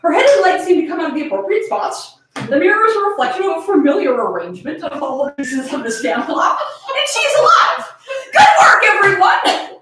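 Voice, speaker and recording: A woman's voice: very high-pitched, wildly swooping pitch, fairly quick, a bit bright, slight background noise. A woman giving a comedic dramatic reading of fan fiction, giggly and laughing through her words. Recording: echoey room, distant microphone.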